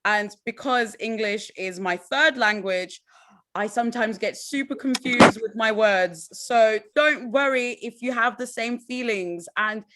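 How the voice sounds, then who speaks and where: A woman's voice: fairly high pitch, measured pace, a bit bright. A woman hosting an online award ceremony as MC, upbeat and encouraging, speaking over a video call. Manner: upbeat, encouraging, addresses audience as guys. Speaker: host and MC.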